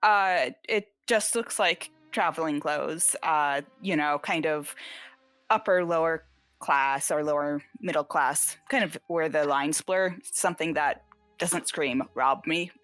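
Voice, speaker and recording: A woman player describes her character in a casual, halting way, with many fillers. Her voice is low for a woman. The recording is dry and close. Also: fairly bright tone, no room sound, soft background music. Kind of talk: casual tabletop play.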